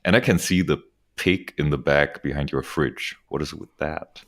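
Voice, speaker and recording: A man's voice: extremely low pitch, moderate pace, a bit bright. A man co-hosting a marketing podcast in casual chat, joking and laughing often. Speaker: podcast co-host. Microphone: podcast microphone over video call.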